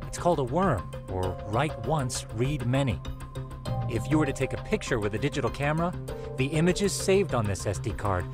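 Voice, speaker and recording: A geeky young male tech expert, acted by a man in a game cutscene. He explains in a composed, measured way at a medium pitch, over clearly audible music. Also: very animated, lightly acted. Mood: explanatory. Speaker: voice actor, video game English dub. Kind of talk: video game cutscene dialogue.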